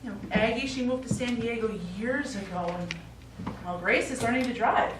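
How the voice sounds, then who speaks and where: A woman's voice: on the high side, moderate pace, close to an everyday voice. Woman acting a concerned daughter, echoey stage. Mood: puzzled, correcting. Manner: coaxing, mildly exasperated. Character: concerned, practical middle-aged daughter of elderly father. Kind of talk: live stage play acting. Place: small indoor theatre stage, recorded from afar. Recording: echoey theatre, distant mic, faint noise.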